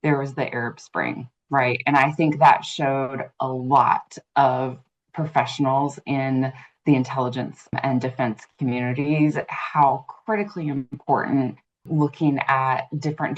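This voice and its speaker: A woman in her thirties answering as an interview guest, earnest, fluent and measured. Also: very deep voice, little pitch movement. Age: 30s. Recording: muffled lo-fi audio.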